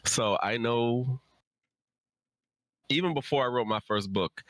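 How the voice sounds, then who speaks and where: A man's voice: lowish voice, a bit bright, no room sound. A man who is an author, chatting informally and answering questions in a loose, rambling way. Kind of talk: casual chat, answering audience questions.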